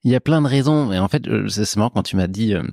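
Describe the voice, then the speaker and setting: A man's voice: lowish voice, expressive, fast talker, creaky, mellow, no echo, clean studio sound. A man in his forties, a podcast guest talking casually and reflectively, with informal asides and emphatic repetition.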